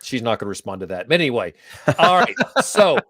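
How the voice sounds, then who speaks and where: A man's voice: medium pitch, a little fast, dry recording, clean studio sound. A man hosting a casual live online interview, chatty and playful as he welcomes his guest. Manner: chatty, jokey, animated. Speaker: host. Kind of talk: casual livestream interview chat. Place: Atlanta area.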